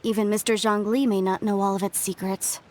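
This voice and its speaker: A woman's voice: mid-range voice, flat delivery, brisk, darkish tone, natural voice. An actress voicing an earnest, idealistic young female archaeologist in a video game. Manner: earnest and easily stirred. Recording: game score playing faintly.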